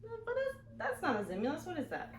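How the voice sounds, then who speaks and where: A woman's voice: extremely high pitch, huge pitch swings, fairly quick, voice pushed up, exaggerated voice. A woman voicing a stern, exasperated female schoolteacher from a storybook, with emphatic scolding. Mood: puzzled. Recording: echoey room, clear background noise.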